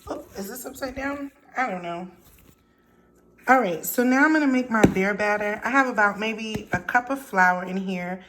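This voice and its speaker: A woman chats her way through a recipe in a home kitchen, talking to viewers as she cooks one-handed. Her voice sits at an ordinary middle pitch. Clear background noise from the kitchen, with a running tap, stays behind her. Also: moderate pace. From Haitian family background. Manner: chatty, thinks aloud while working. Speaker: home cook showing a recipe. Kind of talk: casual cooking demo.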